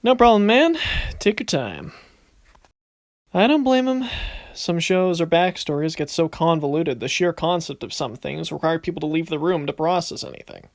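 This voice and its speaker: A man's voice: fairly high pitch, lively intonation, moderate pace, dry recording, studio quality. A man voicing a confident, wisecracking young card duelist in an anime fan production, casual and theatrical. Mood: casual, wry. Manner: casual, wry, theatrical when announcing moves.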